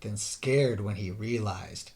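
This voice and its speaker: A man narrating a rhyming children's story in a theatrical, deliberate way, drawing out the ends of phrases. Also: fairly low pitch, a little mellow. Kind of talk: dramatic scripted children's story narration in rhyme.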